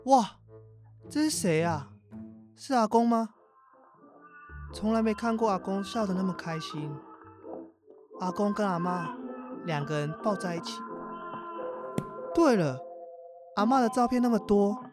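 A man's voice: sits high, lively intonation, unhurried, long pauses. A young man with a Taiwanese accent playing an impatient college student grandson in an everyday radio drama, speaking casually and expressively. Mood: excited, delighted. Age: young adult. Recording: music bed behind the voice. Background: music.

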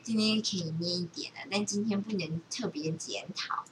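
A woman's voice: medium pitch, moderate pace. A woman, chatting casually as a co-host. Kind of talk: casual chat. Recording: distant mic, clear background noise.

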